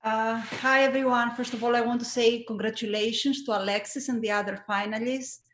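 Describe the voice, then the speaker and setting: A woman, introducing herself on a panel in a measured way, with frequent hesitations, over a video call. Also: fairly high pitch, steady tone, reverberant. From Greece. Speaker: oncology professor and panelist.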